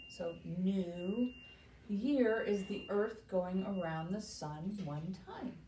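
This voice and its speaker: A woman hosts a story time for young children at an unhurried pace. She speaks in simple, encouraging instructions and questions. Her voice comes from a little way off the microphone in an echoey room. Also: medium pitch, mellow, slight background noise. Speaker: library story time host. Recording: roomy echo, distant microphone.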